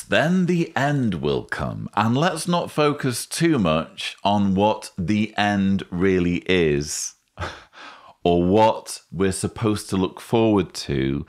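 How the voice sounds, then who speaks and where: A man in his forties giving measured, wry commentary as a host, close on a studio microphone. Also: sits low. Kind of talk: commentary, rebuttal to camera. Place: indoor study lined with bookshelves. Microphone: studio microphone on boom arm, close.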